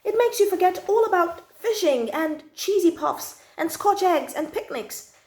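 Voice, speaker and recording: A woman reading a children's story aloud in an expressive, emphatic manner, drawing out key words and adding little asides to listeners. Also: extremely high pitch, measured pace, reverberant. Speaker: storytime channel host reading children's books.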